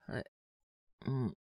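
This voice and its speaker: A man acts out a young male student down with a cold in an audio drama. His voice is husky and breaks into coughs, with big swings in pitch. He talks slowly and casually, polite but mildly exasperated, in trailing phrases. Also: fairly bright tone, no room sound, clean studio sound, full character voice. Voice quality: husky, with frequent coughing fits. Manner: casual youthful speech, trailing hesitant phrases. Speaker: voice actor. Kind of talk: one-sided situation voice drama.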